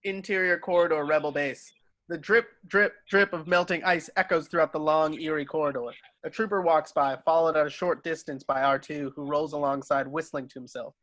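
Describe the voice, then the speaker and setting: Guy reading stage directions, bright crisp-edged highish voice, really dry sound. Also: fairly even intonation, fairly quick, no room sound. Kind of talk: scripted screenplay narration.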